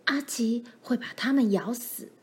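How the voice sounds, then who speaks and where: A woman voicing a frightened but brave young child in a storybook, in urgent, hushed, hoarse whispers. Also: fairly high pitch, unhurried. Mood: whispered resolve.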